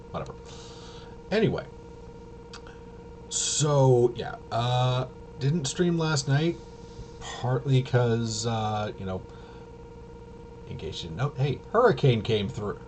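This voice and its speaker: Casting: male streamer, lowish voice, loose rambling delivery that stops and restarts, noticeable background noise. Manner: halting, self-interrupting, trailing off. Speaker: livestream host. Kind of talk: casual rambling livestream chat.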